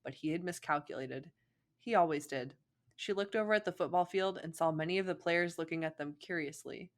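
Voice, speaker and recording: A woman with a slightly low voice reads a story aloud. Her narration is expressive and she often breaks into casual, chatty comments. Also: fairly quick. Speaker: fan fiction reader.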